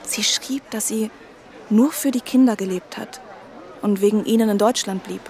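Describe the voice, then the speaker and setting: An actress playing an earnest, outspoken young woman in a naturalistic radio drama, with a husky, breathy voice. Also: medium pitch, normal speed, darkish tone, a faint crowd, close to an everyday voice. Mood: wistful. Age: young adult. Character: earnest, outspoken young woman worried about her brother.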